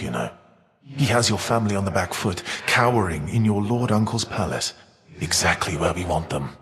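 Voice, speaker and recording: An actor playing a proud, vengeful, defiant Titan of fire in a video game, speaking with grave, haughty, archaic formality. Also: male voice, sits low, fairly bright tone. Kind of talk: dramatic video game villain voice acting. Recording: long reverb tail.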